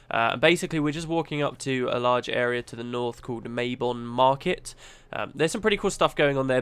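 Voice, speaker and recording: A man with a cold narrating a gameplay guide, explaining things step by step in a relaxed, chatty way. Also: mid-range voice, clear, resonant tone, no echo. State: has a cold, sniffly. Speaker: gaming channel host.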